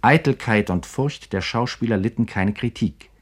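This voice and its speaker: A deep male voice delivers scripted literary commentary for radio. He narrates with emphatic, carefully literary phrasing. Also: moderate pace, breathy edge.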